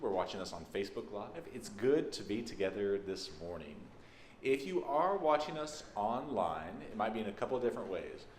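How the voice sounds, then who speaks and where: A man with a Texas accent, a minister giving a conversational, folksy welcome to a congregation at a church service. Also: lowish voice. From Texas, United States. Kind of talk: informal spoken welcome to a congregation. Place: large church sanctuary, indoors. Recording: echoey sanctuary with background noise.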